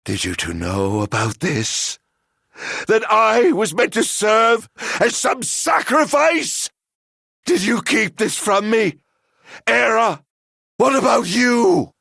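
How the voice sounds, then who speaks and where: An actor playing a tormented, vengeful immortal man turned monster in a video game, with grandiose, theatrical, old-fashioned delivery and a gravelly rasp. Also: high-pitched, sing-song, bright, forceful tone, no echo, studio quality, full character voice. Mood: betrayed fury. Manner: grandiose, archaic wording, slow dramatic delivery.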